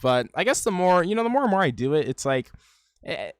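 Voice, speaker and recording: A man's voice: high-pitched, very animated, a little fast. A man hosting a YouTube reaction video, talking casually and rambling with lots of "like" and "you know".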